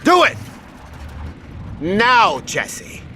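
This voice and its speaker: High, sharp-voiced unhinged scientist. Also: male voice, wildly swooping pitch, drawn-out words, slight background noise, full character voice. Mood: cold, menacing. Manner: formal, self-righteous, menacing. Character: unhinged, paranoid male scientist doctor holding others at gunpoint. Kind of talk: audio drama acting.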